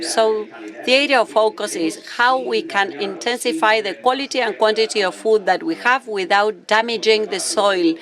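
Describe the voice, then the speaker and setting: A man's voice: sits high, a bit bright. A man, a university professor on a conference panel, speaking carefully as a non-native speaker with a few restarts. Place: conference hall.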